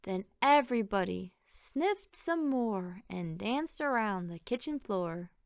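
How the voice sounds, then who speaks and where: A woman reading a children's picture book aloud in an expressive, theatrical storytelling manner with emphatic phrasing. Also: mid-range voice, slow, vocal fry, no room sound, clean studio sound.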